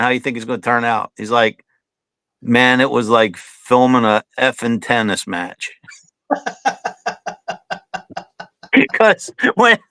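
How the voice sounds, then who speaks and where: A man's voice: medium pitch, bright, forceful tone, clean tone, dry recording. A man in his fifties, a guest on an online show, chatting loosely and telling stories, often calling his host "man". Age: 50s. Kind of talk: casual interview chat.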